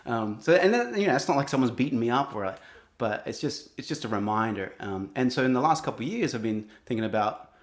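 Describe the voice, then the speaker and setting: A man's voice: mid-range voice, lively intonation. A young adult man with an Australian accent, speaking earnestly in an interview, often restarting his sentences. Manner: earnest, halting, many restarts. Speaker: guest, singer-songwriter. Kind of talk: reflective interview talk.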